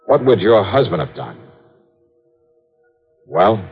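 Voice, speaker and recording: An actor playing a shrewd, confident investigator in a 1950s radio detective drama, pressing and terse. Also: male voice, fairly low pitch, big pitch swings, slow pace, faint music behind, heavily acted. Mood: probing, accusing. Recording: muffled, husky-sounding 1950s radio recording.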